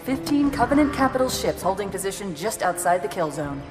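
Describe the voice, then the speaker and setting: A woman voicing a calm female ship AI who gives crisp, efficient status reports in a game cutscene. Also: medium pitch, fairly quick, lightly acted. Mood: alert. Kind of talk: cinematic game cutscene acting. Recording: dialogue under loud music.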